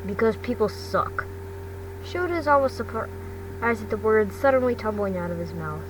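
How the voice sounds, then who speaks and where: A woman's voice: on the high side, expressive. A woman narrating fan fiction aloud, stumbling often and repeating words as she reads. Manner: halting reading with frequent stumbles. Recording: distant mic, clearly noisy background.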